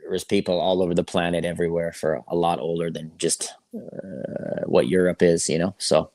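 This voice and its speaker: A man's voice: deep voice, level tone, normal speed, darkish tone, dry recording. A man chatting casually as a musician guest in an interview, with laid-back, slangy phrasing. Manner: laid-back slangy talk, frequent "you know".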